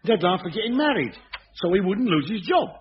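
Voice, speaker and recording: An actor playing a chatty, chuckling busybody in a radio sitcom. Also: male voice, high-pitched, sing-song, brisk, slight background noise. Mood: gossipy. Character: gossipy staff member, cheerful busybody. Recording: muffled old radio recording.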